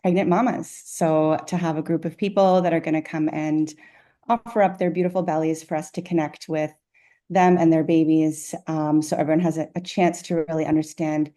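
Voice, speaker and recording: An enthusiastic woman, a midwife, describes her workshop in a relaxed, upbeat way. Her voice is low and mellow. She is heard through a video call. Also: a little fast. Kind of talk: casual presentation.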